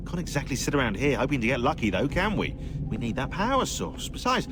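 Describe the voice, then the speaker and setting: A man's voice: medium pitch, very animated, fast talker, close to an everyday voice. A man playing a sarcastic teasing tech ally. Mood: wry. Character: sarcastic young male tech-savvy ally, dry joker. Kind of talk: video game dialogue. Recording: noisy game ambience.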